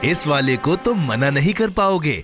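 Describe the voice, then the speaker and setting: A man voicing a friendly, helpful companion to a boy in a children's cartoon dub, with expressive delivery. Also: on the high side, moderate pace, over music, natural voice. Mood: reassuring.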